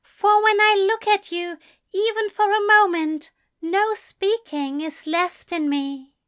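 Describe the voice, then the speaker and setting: A woman voicing a soft-spoken, adoring male angel in a read-aloud story, his voice high, smooth and warm. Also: takes it slowly, heavily acted. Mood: dreamy.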